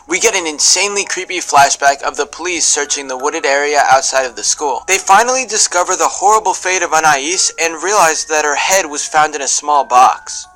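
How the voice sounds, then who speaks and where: A man's voice: fairly high pitch, pushed and bright, poor audio. A man reading scripted narration for an online video, with music behind him. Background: background music.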